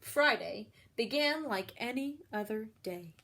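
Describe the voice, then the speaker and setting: Female storyteller, high voice, expressive children's read-aloud with explanatory asides. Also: a little slow. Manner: expressive, explains feelings and puts questions to children. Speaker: storyteller reading a picture book to children.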